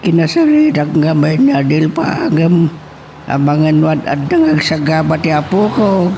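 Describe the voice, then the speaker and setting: A man playing an animated father of a rural family in an Ilocano audio drama. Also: fairly high pitch, moderate pace. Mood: concerned. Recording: some background noise.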